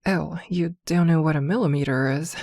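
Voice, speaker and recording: A woman playing a possessive, protective witch girlfriend in an intimate ASMR roleplay, with a soft, coaxing murmur. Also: sits low, moderate pace, no echo, studio quality. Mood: teasing, tender.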